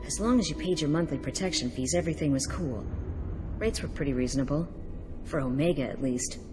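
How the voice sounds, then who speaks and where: Woman as a low-voiced, defensive alien refugee. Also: brisk, over music, lightly acted. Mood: wary. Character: frightened, indignant female non-human plague-district refugee. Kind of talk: video game dialogue.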